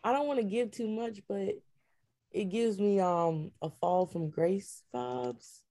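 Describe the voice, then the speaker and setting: Woman podcast guest, normal pitch, laid back casual slangy talk. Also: slow, dark tone. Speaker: voice cast member, guest. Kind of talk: casual chat.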